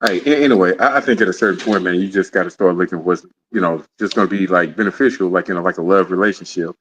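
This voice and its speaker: A man's voice: deep voice, quick pace, slight background noise. A man in his late forties talking in a casual chat, rambling, with many restarts and frequent "you know what I mean". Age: 40s. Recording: dull lo-fi sound.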